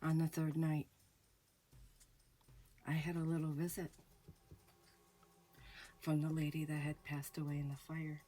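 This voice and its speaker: A woman hosting a ghost story show, telling a personal haunting story slowly with hushed pauses, her voice a little off from a recent illness. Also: low voice, monotone. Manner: slow, hushed, dramatic pauses. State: recovering from illness, voice sounds off. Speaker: storyteller host. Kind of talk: spoken ghost story narration.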